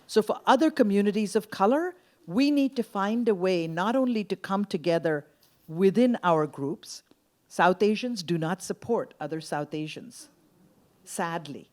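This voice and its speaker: A woman's voice: on the low side, darkish tone. A woman speaking as a panelist, earnest and emphatic, citing figures and urging unity. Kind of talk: panel discussion.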